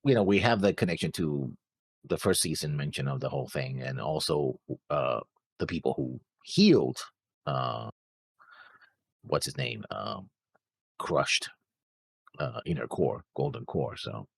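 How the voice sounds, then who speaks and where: A man co-hosting a reaction show, casually talking through his notes in a halting way as he searches for words. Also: a bass voice, lively intonation, gravelly, husky, no room sound.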